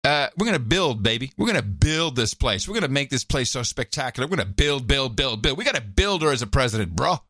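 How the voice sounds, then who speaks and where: A man's voice: mid-range voice, very animated, fast talker, few pauses, bright, forceful tone, no echo, clean studio sound. A man putting on the voice of a swaggering male official who boasts like a pushy salesman, in casual podcast chat. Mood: boastful.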